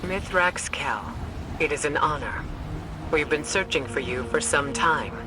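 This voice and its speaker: An actor playing a composed, urgent military mission commander in a video game, speaking in a crisp, tactical, commanding way. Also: male voice, fairly high pitch, a little slow, heavy background noise, lightly acted. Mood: formal, measured. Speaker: mission guide.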